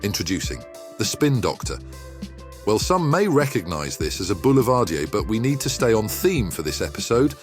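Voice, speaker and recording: A man reading a scripted cocktail recipe segment in a measured way. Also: lowish voice, very animated. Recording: faint music underneath.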